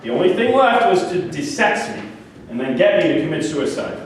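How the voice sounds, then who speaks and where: In a very echoey room, picked up from a distance, a man performs a higher-pitched male psychiatric patient. He is grandiose and delusional, rambling and arguing his own case in a staged play reading. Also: sing-song, normal speed. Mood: intense, resentful. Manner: argumentative, rambling, self-justifying. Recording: very echoey room, distant mic, faint noise.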